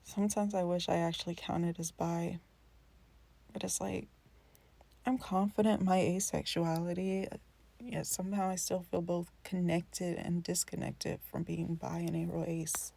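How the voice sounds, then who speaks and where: Introspective young character, played by a woman, lowish and gravelly-edged, tentative, confiding, for intimate drama dialogue. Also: level tone, unhurried, mellow. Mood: wistful, unsure. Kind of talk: scripted character dialogue, naturalistic.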